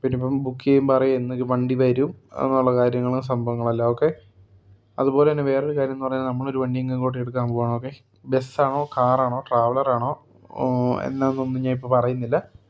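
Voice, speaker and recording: A man's voice: mid-range voice, flat delivery, fairly quick, muffled recording. A man from Kerala narrating his day vlog-style in a rambling, casual way, full of fillers. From Kerala, India. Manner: rambling, heavy on fillers like "okay" and "and things". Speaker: vlogger narrating a bus-business roleplay.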